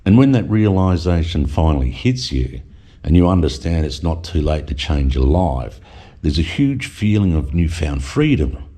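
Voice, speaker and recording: A man's voice: very deep voice, sing-song, moderate pace, dark tone, breathy edge. A middle-aged man reading a reflective voice-over with wry asides. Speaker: vlogger narrator.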